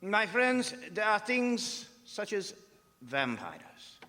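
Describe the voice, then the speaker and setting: A solemn vampire hunter acted by a man commands and warns in a high-pitched, theatrical voice. The oratorical radio-play delivery echoes through a big hall with long reverb. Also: wildly swooping pitch, very slow, pushed and bright, exaggerated voice. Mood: solemn warning. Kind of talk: theatrical radio drama acting. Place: performing arts center stage.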